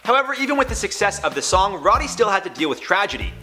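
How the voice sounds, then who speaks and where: A man hosting and narrating a scripted biography video in a brisk, upbeat storytelling manner. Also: high voice, very animated, pushed and bright, faint music behind. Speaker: host.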